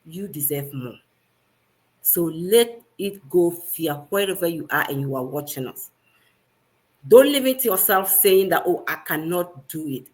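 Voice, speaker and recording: A woman's voice: on the low side, poor audio. A woman from Ghana hosting a live video call, upbeat, often tossing questions out to the audience. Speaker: host. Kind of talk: live stream chat.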